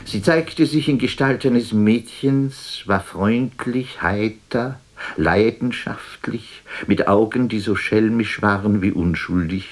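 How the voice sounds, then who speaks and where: An actor playing a melancholy, lonely poet in a literary radio drama, speaking in a solemn, introspective and theatrical way. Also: male voice, on the low side, slow pace. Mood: tender, melancholy.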